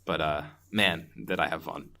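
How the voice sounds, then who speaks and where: A man's voice: rumbling low, steady tone, moderate pace, bright, forceful tone. Enthusiastic, rambling man chatting casually. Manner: enthusiastic, rambling, laughs readily. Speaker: podcast co-host. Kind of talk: casual film review chat.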